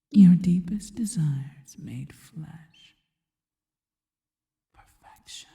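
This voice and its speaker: A seductive spider woman, acted by a woman whispers intimately in an ASMR roleplay. Her breathy voice is very dark and unhurried, devoted and deliberate. It trails off into long, hall-like reverb. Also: sits low. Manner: slow, intimate, deliberate phrasing.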